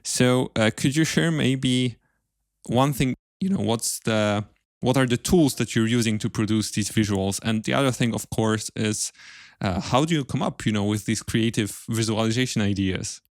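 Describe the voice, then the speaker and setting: A man hosting a podcast interview, putting casual questions together in a halting, careful way. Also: mid-range voice, no room sound, clean studio sound.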